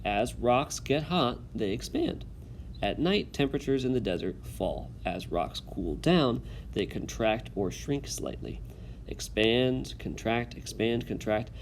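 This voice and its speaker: A man teaching in an instructional video, reading a text aloud and pausing to give clear directions and check understanding. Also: mid-range voice, big pitch swings, slight background noise.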